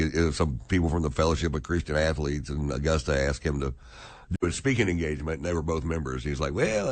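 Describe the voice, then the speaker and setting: A man's voice: sits low, no echo. A man in casual comic conversation, telling anecdotes in a rambling way.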